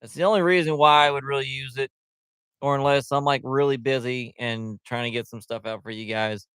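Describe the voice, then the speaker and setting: Smooth-voiced man chatting on a livestream. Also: mid-range voice, steady tone, brisk, fairly bright tone, dry recording, clean studio sound. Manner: rambling, loose and chatty, many fillers. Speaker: livestream host. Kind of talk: casual livestream chat. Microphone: headset.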